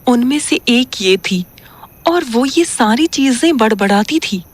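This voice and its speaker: A woman's voice: a little high, expressive, unhurried, breathy edge. A woman playing an earnest young woman caught in a haunting, in a horror audio drama. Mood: uneasy, urgent.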